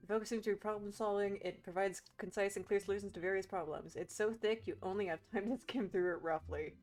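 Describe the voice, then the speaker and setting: A woman's voice: mid-range voice, fairly even intonation, fairly quick, darkish tone. A woman doing playful, sarcastic commentary on a game playthrough, sometimes reading text aloud. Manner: playful, sarcastic, foul-mouthed. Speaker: game streamer.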